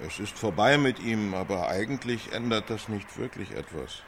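A man's voice: on the low side, lively intonation, normal speed, a bit bright, a little hiss or hum. A man playing a subdued parent giving a witness interview in a radio drama. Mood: subdued, grave.

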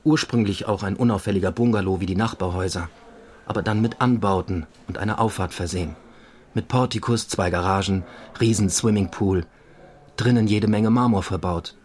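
Actor as low-voiced, matter-of-fact police investigator. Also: male voice, brisk, darkish tone, natural voice. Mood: factual. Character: matter-of-fact male police investigator giving a case report. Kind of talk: German audio drama dialogue.

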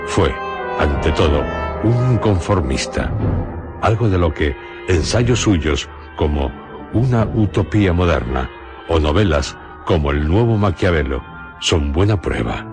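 A man with a Castilian Spanish accent, narrating a literary radio programme in a solemn, measured storyteller's manner. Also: low-pitched, expressive, over loud music. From Spain. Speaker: host and narrator of a radio drama series.

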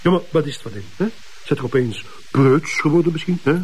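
An actor playing a pompous, evasive police chief with a Flemish accent and a bossy manner. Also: male voice, fairly high pitch, fast, darkish tone, breathy edge, low-quality recording. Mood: irritated, defensive. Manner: bossy, defensive, interjecting tags. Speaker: actor in a police drama series.

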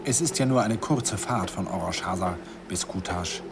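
A man's voice: low-pitched, moderate pace, gravelly. An actor narrating as an older man wistfully recalling his youth in a classic radio play. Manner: wistful storytelling, chuckling. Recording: hissy old radio recording.

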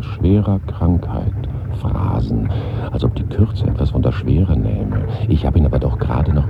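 A man's voice: very deep voice, creaky, full character voice. An actor playing a brooding man's inner voice in a radio drama, musing on death in clipped fragments. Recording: muffled, very noisy 1970s radio drama.

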